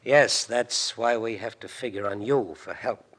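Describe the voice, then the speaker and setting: Casting: menacing American mob boss, lowish forceful voice, commanding and terse, old-time radio crime drama. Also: male voice, unhurried. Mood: businesslike, pressing. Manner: clipped, commanding, slangy. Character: tough, menacing gangster boss, bossy, bitter jilted husband.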